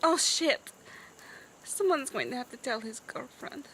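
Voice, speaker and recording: A woman's voice: high voice, expressive, measured pace, a bit bright, breathy edge, poor audio. A woman improvising as a player on a tabletop game podcast, hesitant and trailing off as she narrates and speaks in character.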